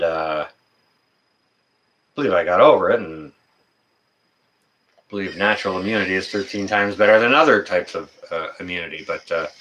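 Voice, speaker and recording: A man in his fifties hosting a casual livestream chat, talking in a rambling, folksy, halting way. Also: low-pitched, bright, forceful tone. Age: 50s. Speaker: livestream host.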